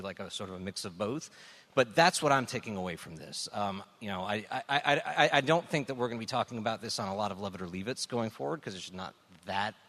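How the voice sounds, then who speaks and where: Man, low pitch, analytical panelist, measured political discussion, live stage, hall reverb. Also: fairly bright tone. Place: indoor stage before a live audience.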